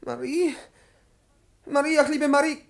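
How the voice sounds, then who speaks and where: An actor playing a nervous, volatile middle-aged professor in a 1950s radio play, agitated and evasive, repeating himself in a strained, husky voice. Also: male voice, very high voice, steady tone, unhurried, heavily acted. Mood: panicked. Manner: agitated, pleading, stammering repetitions. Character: nervous, volatile male professor, murderous yet childishly evasive. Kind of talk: 1950s German-language radio play acting.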